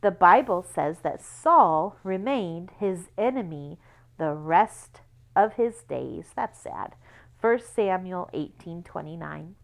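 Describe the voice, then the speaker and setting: A woman reading a story aloud to children in a deliberate, explanatory way, pausing to guide young listeners along. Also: on the low side, creaky. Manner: deliberate, explanatory, spells out words and numbers. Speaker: children's Bible school read-aloud reader. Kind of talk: read-aloud storytelling for children with teaching asides.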